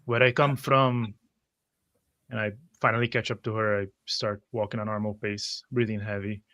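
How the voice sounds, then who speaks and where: A man roleplaying at a tabletop game, improvising in a formal, courteous, measured manner. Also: on the low side, level tone, sharp tone, no room sound.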